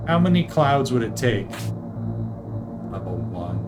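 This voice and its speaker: A man commentates on a video game in a casual, conversational way, reacting out loud as he plays. His voice sits at an ordinary pitch, with loud game music running behind it. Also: sing-song, measured pace. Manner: conversational, reacting aloud to the screen. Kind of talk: casual let's play commentary with voiced-over dialogue.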